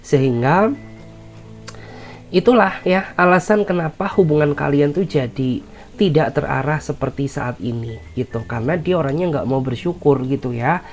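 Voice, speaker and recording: A woman's voice: low-pitched, moderate pace, a little mellow, soft background music. A woman doing a tarot reading with an Indonesian accent, her voice nasal from a cold, speaking soothingly with many filler words. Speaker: tarot reader.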